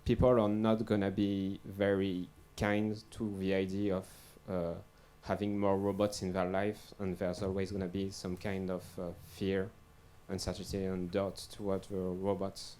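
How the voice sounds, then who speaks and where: Young male researcher on a panel, low and even delivery, hesitant. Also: measured pace, few pauses, a little hiss or hum. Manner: hesitant, many fillers and restarts. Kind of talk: panel discussion answer.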